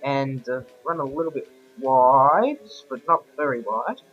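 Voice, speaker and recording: A man with a croaky voice from a sore throat, giving rambling, self-correcting casual commentary over racing game footage. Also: medium pitch, measured pace. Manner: rambling, keeps correcting himself. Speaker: gameplay commentator. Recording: dull lo-fi voice-over with game music behind.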